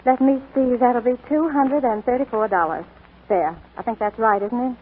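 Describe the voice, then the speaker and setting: An actress playing a flustered, wry young bride-to-be in a 1940s radio drama. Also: female voice, fairly high pitch, normal speed, husky. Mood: polite, brisk. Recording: tinny 1942 radio broadcast, faint music.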